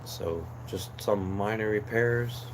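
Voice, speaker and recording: Low-voiced man, hoarse, chatting aimlessly. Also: slow pace. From New Jersey, USA. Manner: rambling, relaxed, many fillers and restarts. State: losing his voice. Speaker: livestream host. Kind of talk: casual live chat. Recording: clear background noise.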